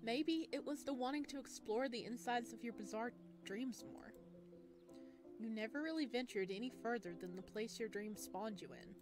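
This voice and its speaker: A woman reading a visual novel aloud as a let's player, expressive, with casual chatty reactions. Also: fairly high pitch, moderate pace, music in the background. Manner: expressive, exclaims and chats between read passages. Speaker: video game let's player.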